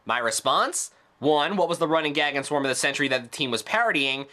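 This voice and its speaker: Animated, bright-voiced male reviewer. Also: a little high, fast talker. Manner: opinionated, sarcastic. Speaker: video reviewer. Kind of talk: scripted review commentary with sarcastic asides.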